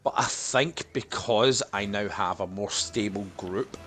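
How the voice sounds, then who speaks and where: A man's voice: on the low side, measured pace, a bit bright. A man in a casual, jokey, sweary chat with friends as a podcast co-host.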